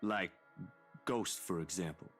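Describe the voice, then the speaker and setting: A man's voice: low voice, unhurried, fairly bright tone, slightly rough, faint music behind, full character voice. An actor playing a polite, earnest young man in a video game, respectful and a little hesitant. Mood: casual, curious. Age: young adult.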